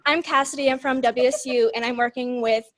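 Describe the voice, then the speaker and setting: Student, woman, slightly high voice, short clear self-introduction. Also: steady tone, fairly quick, a bit bright. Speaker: student participant.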